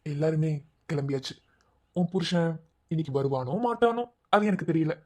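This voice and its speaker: A man's voice: on the high side, expressive, normal speed, a little mellow. A man voicing a leering, predatory male villain who gloats and menaces. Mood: menacing. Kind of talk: audio drama acting.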